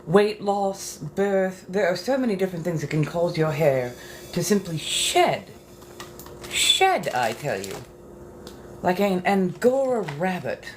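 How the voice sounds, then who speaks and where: A woman's voice: fairly low pitch, big pitch swings, slow. A woman hosting a casual livestream from a bathroom as she gets ready. She talks in a flirtatious, theatrical way and calls her viewers darling. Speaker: livestream host. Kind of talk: casual livestream chat while getting ready. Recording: clear background noise.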